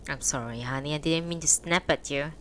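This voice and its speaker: A woman voicing a gentle, weary middle-aged man who speaks low, soft and placating. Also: moderate pace, slight background noise.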